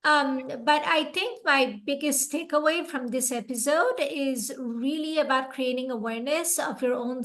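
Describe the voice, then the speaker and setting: Calm encouraging woman on a podcast, high voice, talks real slow. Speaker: podcast co-host. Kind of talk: casual podcast chat.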